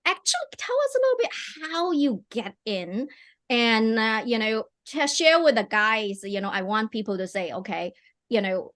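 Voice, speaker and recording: A woman's voice: fairly high pitch, sing-song, moderate pace, fairly bright tone. A middle-aged woman hosting a live online interview over a video call, chatty and enthusiastic, with many fillers and restarts. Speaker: host.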